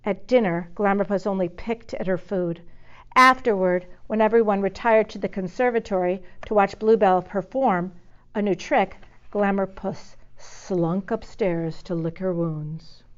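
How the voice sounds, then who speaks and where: A woman narrating a children's story expressively, pausing dramatically on key words. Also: mid-range voice, dull audio. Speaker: storybook reader.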